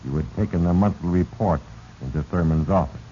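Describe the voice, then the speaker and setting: An actor playing sinister Fate, narrating a 1940s radio drama in a grave, portentous, accusing manner. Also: male voice, sits low, brisk, mellow, close to an everyday voice. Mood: grave, ominous. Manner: grave, portentous, accusing second-person address. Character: sinister, heavy-handed male Fate narrating men's doom. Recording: dull, noisy 1940s radio recording.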